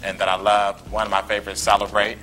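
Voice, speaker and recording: A man co-hosting a local talk show, asking quick questions and praising his guest. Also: fairly low pitch, monotone, over music, low-quality recording.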